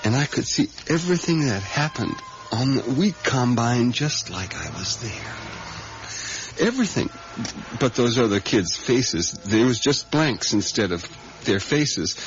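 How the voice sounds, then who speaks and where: An actor playing a haunted, obsessive diner worker in a radio drama, with folksy, plain-spoken rural speech and hesitant, repetitive, intense storytelling. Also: male voice, mid-range voice. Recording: dull, noisy 1980s radio recording.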